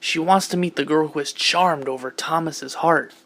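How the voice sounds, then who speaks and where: A man's voice: a little high, normal speed, fairly bright tone. A man playing a friendly, encouraging young swing fan in an audio drama, eager and reassuring. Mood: cheerful.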